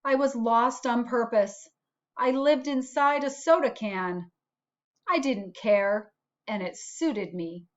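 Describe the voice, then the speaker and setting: A woman voicing a self-declared bad little sunflower seed in a storybook, confessing with deliberate dramatic phrasing. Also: a little high, dull audio. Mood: wistful, dramatic.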